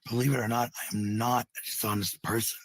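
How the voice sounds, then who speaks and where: A man's voice: medium pitch, level tone, fast talker, no room sound. A middle-aged man talking casually in a rambling monologue, blunt and defensive, with some swearing. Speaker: parent talking about family life.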